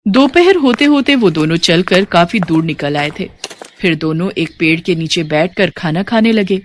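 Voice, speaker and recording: A woman's voice: lowish voice, animated, normal speed. A woman storyteller giving scripted narration of a devotional moral tale.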